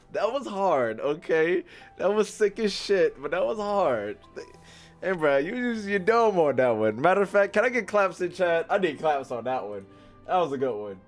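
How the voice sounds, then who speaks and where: A man with an American accent giving casual, slangy, profane and teasing livestream commentary as he plays a game. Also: a little high. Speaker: game streamer.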